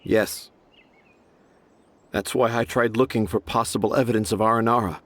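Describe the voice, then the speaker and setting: Remorseful middle-aged father, low and self-deprecating. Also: steady tone, moderate pace, fairly bright tone. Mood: regretful. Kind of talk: game voice acting.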